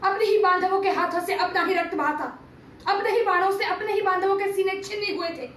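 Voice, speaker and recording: A woman's voice: extremely high pitch, a little fast, fairly bright tone. A woman performing a theatrical monologue as a stern, patriotic male teacher-statesman of ancient India, solemn and declamatory in formal diction. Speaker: amateur performer reciting a TV serial monologue. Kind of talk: theatrical dramatic monologue, oratory. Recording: roomy, distant mic, faint background noise.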